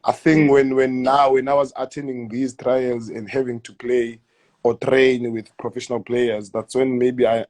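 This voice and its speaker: A man in his thirties with a South African accent, answering interview questions in a hesitant, halting way, often repeating words and letting sentences trail off quietly. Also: mid-range voice, flat delivery. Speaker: guest footballer. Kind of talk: casual interview.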